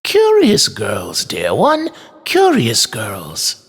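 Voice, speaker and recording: Man playing a high-pitched, stern old toy maker. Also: huge pitch swings, unhurried, creaky, a bit bright, husky, exaggerated voice. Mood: gentle. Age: older. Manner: clipped, formally polite. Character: elderly toy maker, stern, courteous, gently kind.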